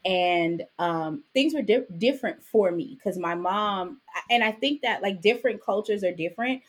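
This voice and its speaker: Husky Jamaican-born woman telling stories. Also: medium pitch, normal speed. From Jamaica, raised in Brooklyn. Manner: animated storytelling with frequent "right?" asides. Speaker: podcast guest, author and business owner. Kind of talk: casual interview conversation.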